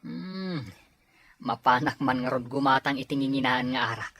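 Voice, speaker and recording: A man's voice: medium pitch, normal speed, fairly bright tone, lightly acted. A man playing a laid-back husband and drinking buddy in a family drama, with an Ilocano accent. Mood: nonchalant. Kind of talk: acted family drama dialogue.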